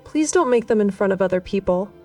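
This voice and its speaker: Woman acting guarded village woman, dark-toned. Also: mid-range voice, lively intonation, fast, recorded from a distance, natural voice. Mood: quiet warning. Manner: quiet, serious. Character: guarded, nervous village woman warning a visitor. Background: music.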